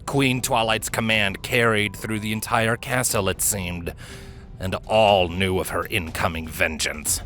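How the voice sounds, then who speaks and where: A man reading fiction aloud as a dramatic story narrator, with theatrical, deliberate emphasis. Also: medium pitch. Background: background music.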